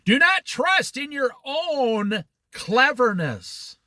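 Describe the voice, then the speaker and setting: A man's voice: very high voice, big pitch swings, takes it slowly, heavy background noise. A man leading an informal Bible study, throwing out challenging rhetorical questions and jokey asides.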